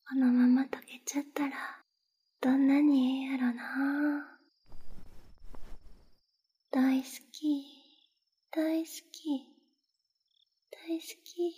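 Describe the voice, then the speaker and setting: A devoted, clingy young woman with a Kansai accent, put on by a woman in an ASMR roleplay, whispering close and breathy. Her voice sits high but barely moves in pitch, and she speaks slowly and evenly. She sounds intimate and hushed throughout.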